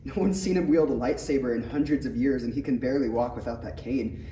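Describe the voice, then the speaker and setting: Mellow, hesitant young apprentice knight played by a man. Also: mid-range voice, quick pace, natural voice. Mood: casual. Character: earnest, self-doubting young male apprentice knight. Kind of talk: table read of a parody script. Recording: echoey room, distant mic, faint noise.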